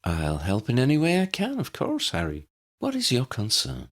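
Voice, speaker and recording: Man as a purring, high-voiced woman head teacher. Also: huge pitch swings, normal speed, fairly bright tone, full character voice. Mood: obliging. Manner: purring, gracious.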